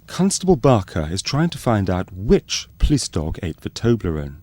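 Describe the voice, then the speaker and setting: A male narrator with a low, mellow voice. He reads scripted docu-soap links with lively rises and falls. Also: moderate pace, no echo.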